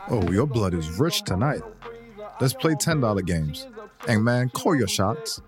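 A blunt, ribbing buddy in an audio drama, acted by a man, talks in loose slang with very lively pitch swings. He teases and dishes out advice like an old friend, with music clearly playing behind him. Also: a little slow. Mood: teasing, relaxed. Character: brash, teasing, advice-giving buddy playing pool. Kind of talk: casual conversational audio drama acting.

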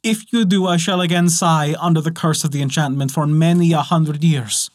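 A man doing a bewitched young princess from a storybook, begging for rescue in a sweet, high voice. She sounds close and dry, with a lifted, brighter tone. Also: fairly even intonation, normal speed, no echo, close to an everyday voice. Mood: urgent. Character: enchanted young princess, pleading and sorrowful.

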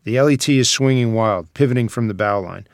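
A man's voice: medium pitch, fairly quick, dry recording, studio quality. A man reading out screenplay scene headings and stage directions as a scripted narrator.

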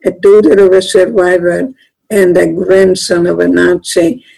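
Gravelly older woman. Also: on the low side, fairly even intonation, unhurried, warm tone, poor audio. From Hungary. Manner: earnest, plainspoken, slightly non-native phrasing. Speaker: guest author. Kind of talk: conversational interview.

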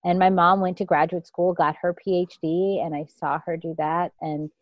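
A woman's voice: lowish voice, flat delivery, moderate pace, no room sound, highs cut off. A woman in her fifties from India, a guest telling her life story in an interview, reflective and rambling, often restarting her sentences. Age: 50s.

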